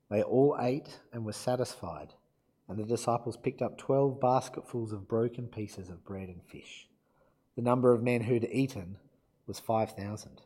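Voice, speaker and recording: Male preacher, croaky from a cold; warm low tone, casual delivery with ums. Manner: self-deprecating, relaxed, with fillers. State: croaky from illness. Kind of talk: sermon with Bible reading.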